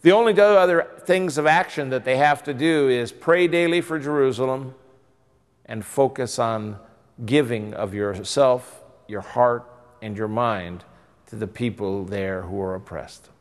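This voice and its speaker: A man's voice: medium pitch, measured pace. Older man, bishop, earnest pastoral appeal, church reverb. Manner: earnest, exhorting, rising and falling emphasis. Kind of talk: pastoral address to camera. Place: church interior by stained glass windows.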